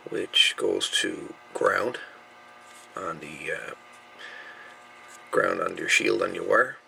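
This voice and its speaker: A man with a heavily creaky, low voice gives a casual repair update. His delivery is folksy and halting, clearly unscripted. A faint noise sits behind him. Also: pushed and bright, poor audio. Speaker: hobbyist radio repairer presenting own channel.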